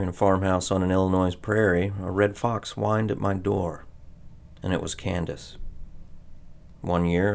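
A henpecked, ageless husband of a witch in old-time radio drama. His low-pitched voice has a wry, ruminative storyteller's manner, easily flustered around his wife. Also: vocal fry.

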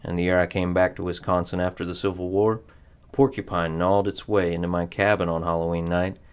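A man's voice: low voice, level tone, a little fast, smooth, close to an everyday voice. An actor playing an ageless, henpecked husband of a witch in a radio drama, a wry storyteller who gets flustered around his wife. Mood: wry, reminiscing. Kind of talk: old-time radio drama narration and acting.

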